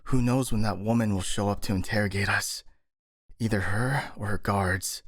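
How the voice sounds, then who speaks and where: A man's voice: fairly low pitch, moderate pace, no echo, clean studio sound, natural voice. A man playing a skeptical, worried, exasperated ninja in an ASMR roleplay, with a sighing, doubting manner. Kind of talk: ASMR roleplay audio drama acting.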